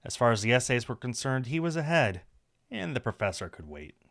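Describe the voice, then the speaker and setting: A man's voice: mid-range voice, big pitch swings, fairly quick, fairly bright tone. A man reading a visual novel aloud on a stream, narrating the story with casual asides.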